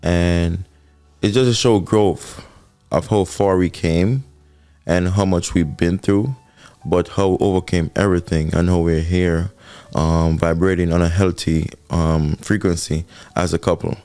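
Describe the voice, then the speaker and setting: A man's voice: sits low, fairly even intonation, measured pace, warm tone, no echo. A man from Jamaica with a Jamaican accent, co-hosting a couple's podcast and reflecting earnestly on relationships. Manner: earnest, reflective, repeats himself for emphasis. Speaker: podcast co-host. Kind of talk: casual couple podcast talk.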